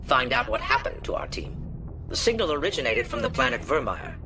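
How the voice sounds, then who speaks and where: Formal, expressive alien councillor. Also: male voice, medium pitch, slight background noise. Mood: grave, official. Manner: formal, measured authority. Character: formal male alien councillor briefing an agent. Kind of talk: video game voice acting. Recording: music behind the voice.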